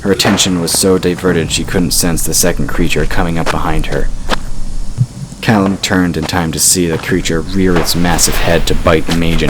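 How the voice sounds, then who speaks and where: Male narrator, very low register, dramatic fantasy storytelling, noticeable background noise. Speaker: audiobook narrator. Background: ambient sound effects.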